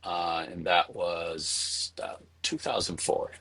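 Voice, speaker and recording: A middle-aged man from Venezuela answering interview questions conversationally, in a halting way, repeating words as he thinks. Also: sits low, steady tone, fairly bright tone, no echo. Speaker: interview guest, spirits entrepreneur.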